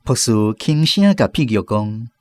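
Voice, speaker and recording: A man's voice: mid-range voice, very animated, moderate pace, a little mellow, no echo. A man with a Taiwanese accent narrating a scripted radio drama as a storyteller.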